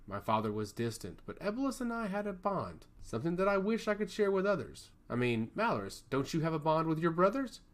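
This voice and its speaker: A man voicing a warm, skeptical mortal woman in a fantasy story, teasing and inviting in manner. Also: on the high side, pitched above the performer's own voice.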